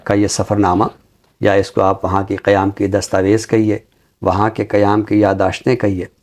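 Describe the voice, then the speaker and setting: A middle-aged man with a Pakistani accent speaks formally and deliberately about literature, repeating phrases for emphasis. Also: lowish voice, completely flat delivery, a little mellow. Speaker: literary show presenter and book reviewer. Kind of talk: measured literary talk with poetry recitation.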